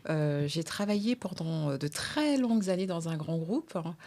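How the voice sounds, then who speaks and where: Forties woman from martinique, fairly low voice, telling her story calmly on a podcast. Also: moderate pace. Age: 40s. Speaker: guest, natural cosmetics founder. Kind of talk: roundtable podcast chat.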